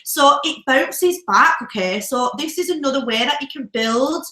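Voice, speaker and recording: A woman's voice: high voice, expressive, moderate pace, pushed and bright, echoey room. A woman coaching viewers on confidence in a casual, upbeat, encouraging way, talking straight to them. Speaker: businesswoman and co-host of a confidence talk. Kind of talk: casual live-stream coaching chat.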